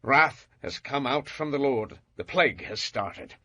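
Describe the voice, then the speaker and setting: An actor playing a stern, impassioned prophet and leader, solemn and urgent, in an audio Bible drama. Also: male voice, mid-range voice, a little slow, pushed and bright, no echo, dull audio.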